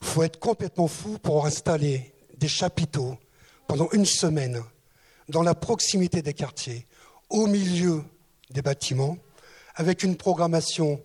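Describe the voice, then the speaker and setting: A man's voice: a little high, a little slow, a little mellow, breathy edge. A man who once led a town's youth service, telling his story with many hesitant fillers, noting his accent is not southwestern. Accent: not southwestern French. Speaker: former municipal youth director. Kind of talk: recollections before an audience.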